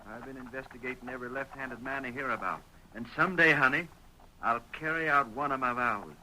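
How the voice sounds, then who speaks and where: Forceful, earnest young western sheriff. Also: male voice, mid-range voice, fairly quick. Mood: grimly determined. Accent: American. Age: young adult. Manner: folksy, dogged. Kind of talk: 1940s radio western acting. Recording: faintly noisy old radio recording.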